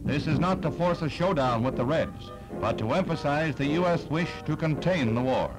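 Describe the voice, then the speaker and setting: A man's voice: on the high side, expressive, moderate pace, low-quality recording. An American man reading scripted 1960s newsreel narration in a dramatic, declamatory manner. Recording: archival 1960s film soundtrack. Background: loud newsreel music.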